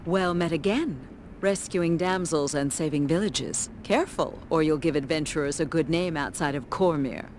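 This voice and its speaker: A woman's voice: deep voice, slight background noise. A woman playing a confident, wry traveller in a fantasy video game, with a poised and assured delivery. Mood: playful. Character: confident, wry female travelling adventurer and caravan contact. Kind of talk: video game dialogue acting.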